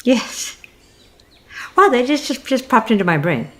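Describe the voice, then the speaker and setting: A woman's voice: a little high, big pitch swings, measured pace, fairly bright tone. An actress playing a middle-aged single mother in a comedic audio drama, polite and awkward, speaking hesitantly and tactfully. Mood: pleasantly surprised.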